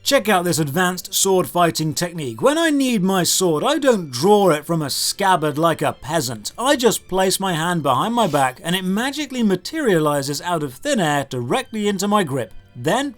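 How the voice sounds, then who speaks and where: A man narrating a scripted, humorous video game review with a dry, sarcastic delivery. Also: high-pitched. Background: game music under the voice.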